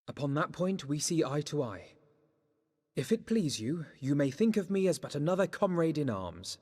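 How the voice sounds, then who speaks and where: An actor playing an earnest, eloquent young nobleman in a game, with a formal, measured British delivery. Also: fairly high pitch, sing-song, darkish tone. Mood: sincere. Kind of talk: formal scripted game dialogue. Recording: long hall reverb.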